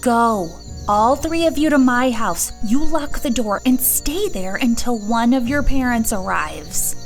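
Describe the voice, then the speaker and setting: A woman's voice: fairly high pitch, lively intonation, unhurried, faint music behind. A woman playing a firm, protective elf mother in a fantasy audio drama. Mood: stern, concerned.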